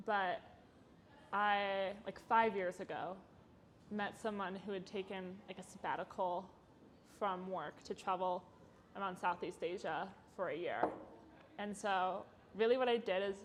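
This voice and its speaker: Chatty young woman, lively. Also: mid-range voice, a little slow, a little hiss or hum. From Chicago. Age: 20s. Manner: chatty, laughing, frequent "like" fillers. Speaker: podcast guest. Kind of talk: casual interview chat.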